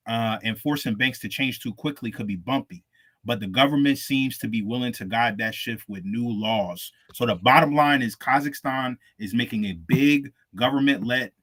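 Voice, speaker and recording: A man's voice: medium pitch, fairly bright tone. A man co-hosting a casual crypto podcast, reading out news stories in a laid-back, slangy way. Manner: laid-back slangy delivery, calls listeners "fam". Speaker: co-host.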